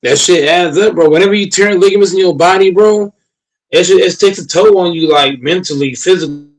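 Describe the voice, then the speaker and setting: A man in his late twenties holds forth in loose, crude talk, repeating himself and stumbling over restarts as he builds a point up. His voice sits a little high for a man. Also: brisk, poor audio. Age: late 20s. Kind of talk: casual profane chat.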